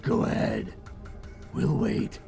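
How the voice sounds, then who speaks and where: A man voicing a threatening, sardonic underwater warlord in an animated fan dub, growling and mock-casual. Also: slow pace, faint music behind, lightly acted. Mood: mocking menace. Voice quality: gravelly, growling. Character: sardonic, threatening crab-army sea warlord, a tough thug.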